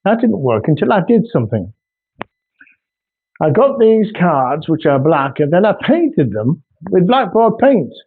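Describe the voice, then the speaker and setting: A man's voice: high voice, big pitch swings, unhurried, slightly rough. A man in his sixties with a North Yorkshire accent, an artist chatting casually and ramblingly about his work. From Australia, raised in North Yorkshire. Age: 60s. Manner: rambling, homely, with many ums and restarts. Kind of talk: casual video introduction. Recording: tinny phone-like recording.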